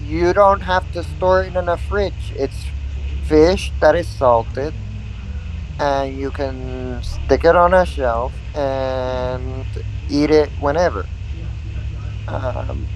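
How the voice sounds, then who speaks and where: Very slow-talking man, stumbling. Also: medium pitch, a little hiss or hum, low-quality recording. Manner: very slow, repeats words, laughs easily. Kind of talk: casual chat.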